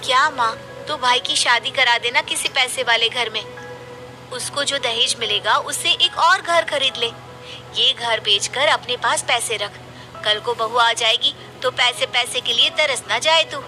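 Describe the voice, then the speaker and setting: Woman as a pushy, high, bright young daughter. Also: measured pace, faint music behind, low-quality recording. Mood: scheming, wheedling. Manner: wheedling, insistent. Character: pushy, scheming young adult daughter, greedy and sweet-talking. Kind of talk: animated moral story dialogue.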